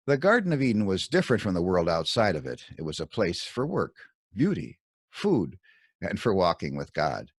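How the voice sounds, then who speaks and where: Low-pitched man narrating with lively intonation. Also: slightly rough, dry recording, clean studio sound. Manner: measured, deliberate phrasing in short segments. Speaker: author reading his own commentary. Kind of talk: scripted audiobook-style Bible commentary narration.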